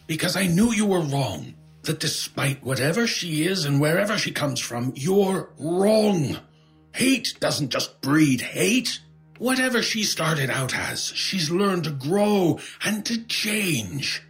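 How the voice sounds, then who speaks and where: An actor playing a gentle, steadfast, lonely old bookshop man in an audio horror drama, earnest and reassuring. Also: a little high, very animated, slow, faint music behind, exaggerated voice. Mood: earnest defiance. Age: older.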